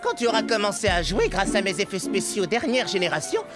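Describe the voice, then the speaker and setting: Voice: medium pitch, big pitch swings, fairly quick, lightly acted. An actor voicing a nerdy, easily exasperated young microbe inventor in a French cartoon dub, pedantic and precise. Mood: matter-of-fact. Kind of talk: French-dubbed cartoon acting. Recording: cartoon music behind.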